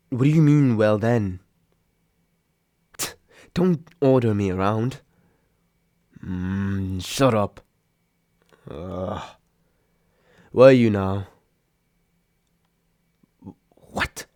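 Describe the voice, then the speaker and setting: A man's voice: medium pitch, warm tone, no echo, clean studio sound. A young man acting a grumpy, sarcastic but secretly caring roommate woken from sleep, grumbling and sighing in an intimate roleplay audio drama. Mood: exasperated, protective. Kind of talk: intimate roleplay audio drama, one side of a phone call.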